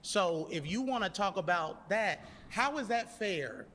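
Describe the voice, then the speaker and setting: A man in his thirties makes his case in a heated debate. He keeps pushing back hard. His voice sits high and trails off into a long reverb, with faint ambience underneath. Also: animated, measured pace, sharp tone, a little hiss or hum. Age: 30s. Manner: emphatic, insistent, pushes back hard. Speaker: debate guest.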